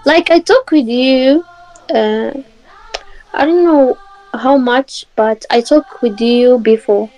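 Slow-speaking young woman. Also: fairly high pitch, a little mellow, faint music behind, rough recording. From Morocco. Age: 20s. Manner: halting simple English, short answers. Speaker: video chat guest. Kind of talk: casual video chat. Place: indoor home room. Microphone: webcam video call.

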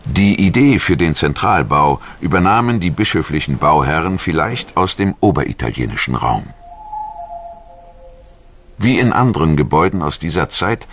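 A man reading scripted documentary narration in a measured, formal manner. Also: rumbling low, animated, noisy background.

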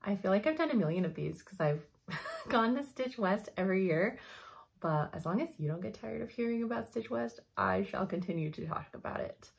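A young woman giving a chatty cross-stitch update from her home craft room, her voice slightly hoarse, laughing often as she thinks aloud. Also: mid-range voice, lively intonation, a little fast. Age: young adult. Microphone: camera in front at desk.

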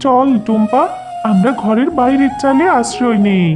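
Woman playing a high-voiced, tender, anxious village mother. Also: moderate pace, music in the background, natural voice. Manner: soothing, plaintive, pious. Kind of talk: cartoon fairy-tale voice acting.

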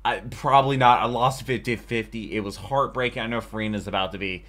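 A man's voice: lowish voice, brisk, a bit bright. An American man in his thirties giving casual, chatty, enthusiastic reaction commentary as a video host at home. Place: small furnished home room.

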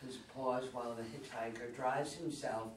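A man's voice: mid-range voice, fairly even intonation, moderate pace. An older man reading stage directions as scripted narration at a play reading. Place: meeting room, around a table. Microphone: far camera. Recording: distant mic, echoey room, faint noise.

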